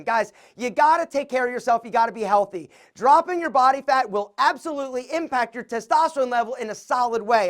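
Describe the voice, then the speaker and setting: Upbeat man with a high-pitched voice. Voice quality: self-described somewhat high voice. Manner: energetic, joking, addresses viewers as guys and gentlemen. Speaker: men's style and grooming video host. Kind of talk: direct-to-camera advice vlog.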